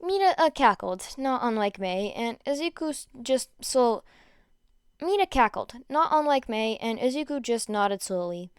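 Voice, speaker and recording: A woman reads a story as an expressive narrator. Her voice is high and very clear, recorded dry and close. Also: moderate pace, no room sound. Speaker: fan fiction audiobook narrator.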